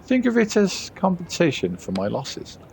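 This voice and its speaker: A man's voice: high-pitched, huge pitch swings, moderate pace, vocal fry, darkish tone, slight background noise, voice pushed up, heavily acted. A man voicing a weary, argumentative errand runner from a story game, pleading and exasperated. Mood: haggling.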